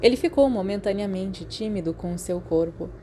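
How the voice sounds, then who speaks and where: A woman with a Brazilian accent reading a story aloud as an audiobook narrator, in an expressive scripted style. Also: mid-range voice, normal speed, slight background noise.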